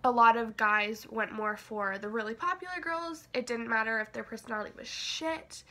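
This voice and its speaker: A woman's voice: a little high, moderate pace, pushed and bright. A nineteen-year-old woman vlogging casually, rambling and hesitant, with lots of fillers and self-deprecating asides. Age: young adult. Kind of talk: casual confessional vlog.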